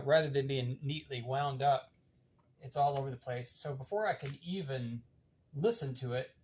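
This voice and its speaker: Halting older man explaining, with noticeable background noise. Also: mid-range voice, darkish tone. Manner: unhurried, halting, thinking aloud while working. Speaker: demonstrator of reel-to-reel tape machines. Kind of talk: casual explanatory demonstration.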